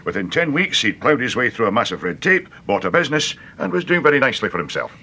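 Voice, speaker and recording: A forthright British police inspector in a radio mystery. His voice is forceful and raspy, rattling along quickly and bluntly. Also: mid-range voice, big pitch swings, full character voice. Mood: forthright, approving. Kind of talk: radio mystery drama acting.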